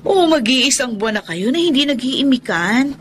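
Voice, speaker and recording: A woman playing a cheeky, teasing young woman in a radio drama, with an animated delivery. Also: high voice, normal speed, a little hiss or hum. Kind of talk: Tagalog radio drama acting.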